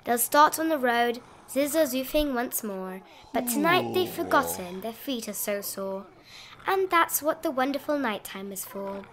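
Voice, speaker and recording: High-voiced woman reading a rhyming storybook. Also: lively intonation, measured pace, fairly bright tone. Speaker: storybook narrator. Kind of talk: expressive read-aloud of a rhyming children's bedtime picture book.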